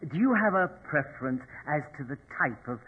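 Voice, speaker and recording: An actor in a 1940s radio drama playing a sinister, smugly courteous mortician with a formal, unctuous, deliberate manner. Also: male voice, on the high side, lively intonation, slight background noise, natural voice. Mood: politely menacing. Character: sinister, politely menacing male mortician, smugly courteous henchman. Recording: tinny, band-limited old radio recording.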